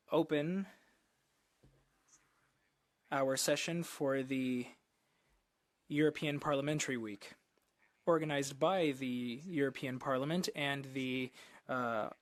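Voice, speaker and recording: A man's voice: mid-range voice, no echo. A man steadily interpreting a formal speech into English from a booth. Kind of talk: simultaneous interpretation.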